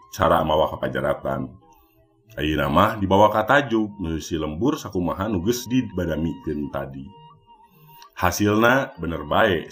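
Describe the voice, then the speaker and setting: Sundanese guy reading a spooky folktale, low voice, bit of music under it. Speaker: storyteller. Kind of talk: scripted narration of a Sundanese mystery folktale. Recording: music bed behind the voice. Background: background music.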